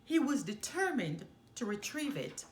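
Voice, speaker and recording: A woman reading a children's storybook aloud as a storytime host, with expressive, deliberate delivery in a roomy recording. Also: fairly high pitch, slight background noise. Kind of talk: scripted storybook narration.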